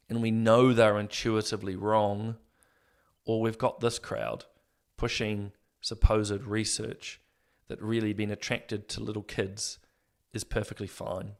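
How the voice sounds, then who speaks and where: A man hosting an opinion commentary show, earnest and morally indignant, rambling with fillers and going a little hoarse. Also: on the low side. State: losing his voice. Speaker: host.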